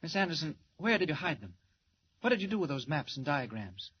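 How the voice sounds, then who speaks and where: An actor playing an earnest, concerned newspaper reporter in a 1940s radio drama, asking questions in a gently probing way. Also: male voice, fairly high pitch, sing-song, fairly quick, breathy edge. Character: earnest, concerned newspaper reporter, an adult man, secretly a superhero. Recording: dull, noisy 1940s radio recording.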